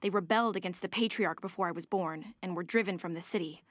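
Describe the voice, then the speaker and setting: A woman's voice: lowish voice, fast, dry recording, clean studio sound, lightly acted. An actress voicing a haughty, determined young woman of a rich family in a video game, formal and self-assured. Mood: bitter.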